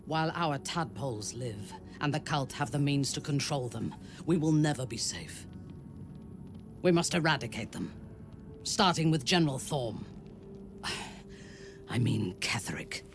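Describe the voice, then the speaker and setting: A proud female commander from a video game, stern and coldly vengeful. The delivery is formal, measured and commanding, with clear music behind it. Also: mid-range voice, animated. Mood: vengeful resolve. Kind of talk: video game dialogue acting. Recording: music under the voice.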